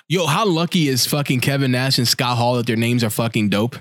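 A man's voice: medium pitch, steady tone, a little fast, a bit bright. A man co-hosting a podcast about wrestling, swearing freely and gushing about his favorite wrestlers in loose, laughing casual chat. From United States. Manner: profane, enthusiastic, laughing often. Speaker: podcast co-host.

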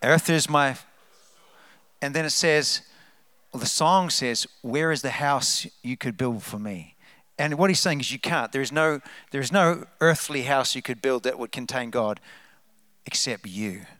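A man with a New Zealand accent, a guest speaker telling testimonies at a church, animated and rousing the congregation. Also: medium pitch, unhurried, dry recording. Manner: animated, hypes up the crowd, trails off into asides. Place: church sanctuary.

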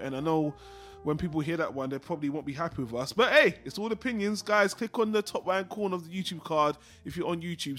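Higher-pitched man hosting a casual podcast. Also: fairly bright tone. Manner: blokey, informal, swearing banter. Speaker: podcast host. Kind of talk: casual film discussion podcast.

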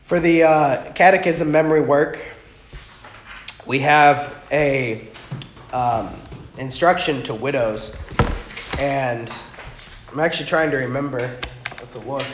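A man whose voice is hoarse and nearly gone teaches an informal church class, speaking quietly and rambling with many restarts. The recording is muffled and roomy, with a faint hiss behind him. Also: mid-range voice, takes it slowly, pauses often. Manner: rambling, self-correcting, talks softly. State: hoarse, lost his voice. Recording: muffled, echoey room with faint noise.

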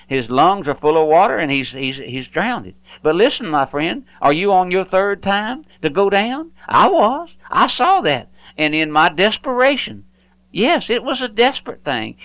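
A man's voice: sits high, a bit bright, no echo, lo-fi sound. A man pastor preaching an earnest, pleading radio sermon, often repeating himself and stumbling over words.

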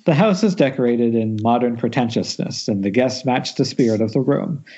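A man's voice: medium pitch, normal speed, mellow. A man reading stage directions as narrator at an amateur table read, recorded over a muffled internet call.

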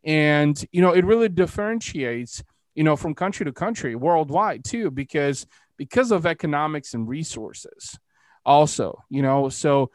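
A man's voice: a little high, animated, unhurried, dry recording. A man from Eastern Europe hosting a podcast interview in a casual, rambling way, sprinkling his talk with filler phrases. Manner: rambling, frequent "you know". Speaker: podcast host, entrepreneur.

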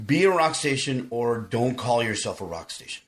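Man, podcast host, from South Texas, casual chat, middle-pitched voice, earnest, informal. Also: lively intonation, normal speed, slight background noise. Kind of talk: casual chat and music news.

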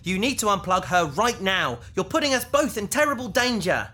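A man's voice: high-pitched, animated, moderate pace, noisy background. A man playing an anxious captive in a science fiction audio drama, earnest and urgent as he urges caution. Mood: urgent pleading. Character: Paris, anxious male captive pleading caution.

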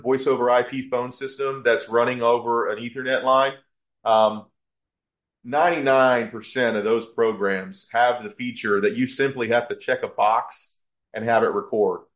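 A man's voice: medium pitch, normal speed. A man with a mid-range voice talks as a podcast guest who runs a business. He explains in a conversational way, restarting and doubling words as he works through each point.

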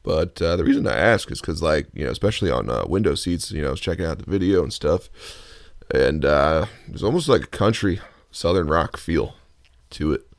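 A man with a deep baritone voice hosting a casual interview, talking with frequent ums and restarts. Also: animated, a little fast, no room sound, clean studio sound. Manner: hesitant, many ums and restarts. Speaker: podcast host.

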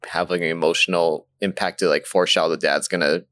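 A man's voice: low-pitched, fairly even intonation, quick pace, a bit bright. A man co-hosting a podcast in casual, conversational chat. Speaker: podcast co-host.

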